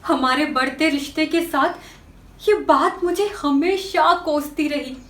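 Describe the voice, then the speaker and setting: Slow, high-voiced anguished young woman played by an actress. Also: fairly bright tone. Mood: grave, confessing. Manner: halting, sorrowful delivery with long pauses. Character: anguished young woman confessing a hidden identity by letter. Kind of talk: dramatic stage monologue. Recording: echoey room, distant microphone.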